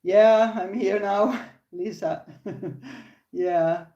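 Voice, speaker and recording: A woman's voice: medium pitch, drawn-out words, warm tone, roomy sound, dull audio. An older woman with a Finnish accent, hosting a casual live crochet stream from her phone, often restarting sentences and laughing. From Finland. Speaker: crochet channel host.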